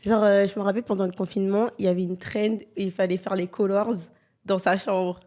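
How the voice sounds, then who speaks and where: A twenty-one-year-old woman hosts a relaxed podcast chat with two guests. She talks loosely and playfully, teasing and laughing as she goes. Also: medium pitch, brisk. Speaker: podcast host. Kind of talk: casual chat.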